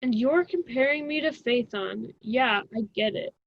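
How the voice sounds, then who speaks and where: Woman playing a flippant high-voiced college student. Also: normal speed, creaky, slight background noise. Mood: impatient, flippant. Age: young adult. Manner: flippant, casual, exasperated. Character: impatient, scheming female college student, flippant. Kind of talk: amateur student radio drama acting. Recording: dull, dry lo-fi recording.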